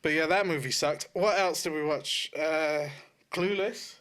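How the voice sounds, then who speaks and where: A man's voice: a little high, measured pace, a bit bright. A man co-hosting a podcast in casual chat, blunt and swearing freely. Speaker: podcast co-host. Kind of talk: casual chat about films and shows.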